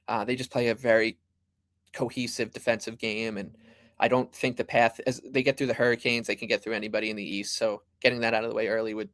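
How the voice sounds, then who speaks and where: A man's voice: mid-range voice, a bit bright, no echo. A male guest analyst giving picks in casual chat, hesitant and thinking aloud. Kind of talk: casual sports betting chat. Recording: dry close sound.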